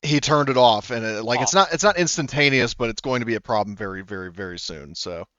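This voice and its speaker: A man's voice: mid-range voice, quick pace, fairly bright tone, no room sound, muffled recording. A man narrating as game master of a tabletop game, hesitant with many fillers.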